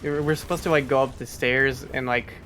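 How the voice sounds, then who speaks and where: Man, mid-pitched voice, casual live commentary, speedrun commentator. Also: steady tone, brisk, a bit bright.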